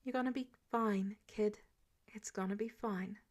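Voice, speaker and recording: A woman's voice: medium pitch, fairly even intonation, unhurried, a little mellow, natural voice. Woman voicing a protective, wry male mentor. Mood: weary, tender. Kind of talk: audiobook character dialogue.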